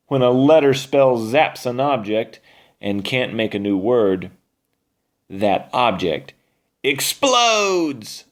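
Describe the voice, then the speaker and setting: Slow-paced male storybook narrator. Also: fairly low pitch. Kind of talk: scripted children's story narration.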